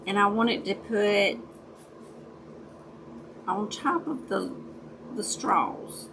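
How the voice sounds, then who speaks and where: A woman with a raspy voice, chatting casually with her viewers during a crafting livestream and greeting them by name. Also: mid-range voice, slow pace, noisy background. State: raspy voice, dry throat. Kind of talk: casual chat while crafting.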